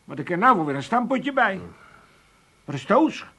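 Bright, high-pitched old Amsterdam moocher. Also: male voice, very animated, moderate pace, full character voice. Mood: whining, hungry. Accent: Amsterdam Dutch. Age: older. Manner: whining, wheedling, interrupting. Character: demanding, cheeky, greedy old Amsterdam father-in-law. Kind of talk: 1980s comic radio drama acting.

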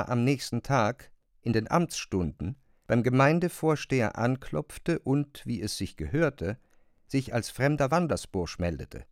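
A man reading literary narration for a radio drama, with measured, deliberate phrasing and pauses. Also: medium pitch, a little mellow, no room sound, clean studio sound.

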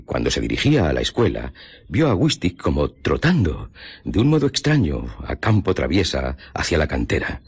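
Grave spanish detective in a radio play, super deep raspy voice, lively intonation, formal. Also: male voice, heavily acted. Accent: Spain. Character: grave male police investigator recounting a murder. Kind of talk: Spanish radio drama acting.

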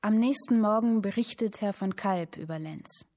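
Radio play narrator, an actress: woman, mid-pitched, deliberate and vivid literary storytelling, music underneath. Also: close to an everyday voice. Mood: vivid, dramatic. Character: female storyteller reading a vivid historical tale.

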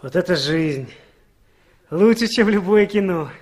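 High-voiced, eager young lad. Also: male voice, expressive, unhurried, darkish tone. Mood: eager wonder. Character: eager, credulous youngster, excited by war tales. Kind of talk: 1960s Soviet radio drama acting.

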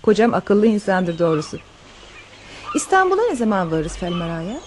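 An actress playing a wealthy, tender countess in love, in a radio drama. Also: female voice, medium pitch, very animated, normal speed, background noise, close to an everyday voice. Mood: relieved, chatty. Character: wealthy countess fleeing revolution, tender and devoted lover.

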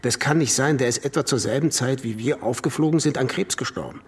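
An actor playing a defensive, indignant imprisoned swindler in a radio drama, speaking emphatically in clipped phrases. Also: male voice, mid-range voice, a little fast, husky. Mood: reflective. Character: imprisoned swindler, defensive, indignant man blaming his runaway partner. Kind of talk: German radio drama acting.

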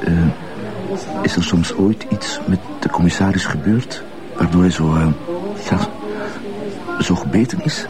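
A low-voiced, awkward Flemish policeman. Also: measured pace, pauses often, crowd noise. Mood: curious, hesitant. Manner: hesitant, deferential. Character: polite, awkward, eager junior male police colleague. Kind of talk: television crime drama acting.

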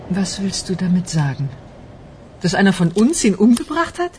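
Actress as middle-aged female office worker under suspicion, chatty, mocking, medium pitch, radio crime drama with faint background noise. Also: big pitch swings, moderate pace, darkish tone, lightly acted. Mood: indignant, defensive. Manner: chatty, mocking, indignant when pressed.

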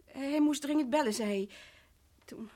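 A haunted, delusional wife in a radio play. Her voice is high and fragile. She stammers anxiously and slips into breathless whispers. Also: unhurried, dark tone. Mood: brittle, distracted.

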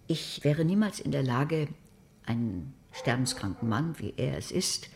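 A woman's voice: very deep voice, lively intonation, a little slow, creaky, heavily acted. Dry, blunt older woman. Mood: wry. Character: dry, sceptical older woman, practical and self-mocking. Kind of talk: German radio crime drama acting.

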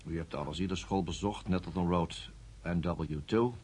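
A man's voice: low-pitched, moderate pace, a little mellow, breathy edge. An actor playing a cool, politely menacing male security service interrogator in a radio play, formal and controlled. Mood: measured, accusing. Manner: formal, controlled, coolly authoritative.

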